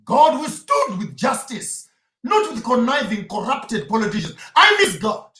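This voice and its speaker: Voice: mid-range voice, huge pitch swings, a little slow, bright, forceful tone. A preacher delivering an impassioned sermon, emphatic and repeating phrases for effect, in a roomy, echoing recording.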